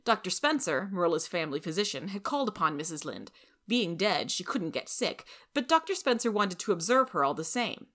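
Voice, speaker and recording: A woman's voice: fairly low pitch, very animated, fairly quick, fairly bright tone. A woman reading a scripted story aloud as its narrator.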